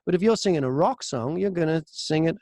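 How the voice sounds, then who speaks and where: A man's voice: fairly high pitch, expressive, a little fast, warm tone, no echo. British man in conversational interview, dry sound. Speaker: audiobook narrator and voice-over artist interviewed as guest.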